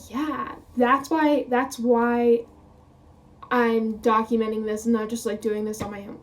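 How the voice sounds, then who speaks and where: A woman's voice: fairly high pitch, darkish tone, recorded from a distance. A woman of twenty talking casually to camera as a vlogger, rambling, quoting her own thoughts and laughing often. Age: 20s.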